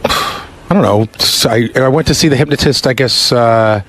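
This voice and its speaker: A man's voice: mid-range voice, normal speed, fairly bright tone. A man on a radio show's staff, arguing defensively and swearing in casual studio banter. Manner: defensive, profane. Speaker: radio show staff member.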